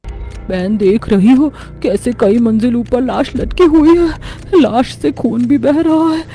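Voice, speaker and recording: Female actor as village gossip, high voice, dismayed, disapproving, cartoon story, music behind. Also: takes it slowly, mellow. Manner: lamenting, disapproving.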